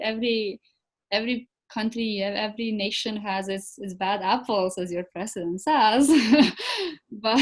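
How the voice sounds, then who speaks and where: A woman from Kosovo with an Albanian accent, a native Albanian speaker, talking as an interview guest and hesitantly searching for words. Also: medium pitch. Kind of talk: conversational interview.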